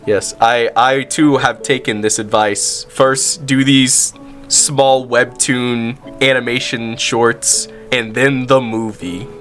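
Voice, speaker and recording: An interviewer hosting a casual chat, joking around and exclaiming in an excitable way. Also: mid-range voice, takes it slowly, faint music behind. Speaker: interviewer, YouTube host.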